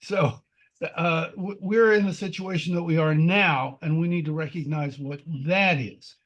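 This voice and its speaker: A man speaking off the cuff in a political discussion, deliberate and halting as he insists on his point. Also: a little high, fairly bright tone, lo-fi sound. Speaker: discussion participant.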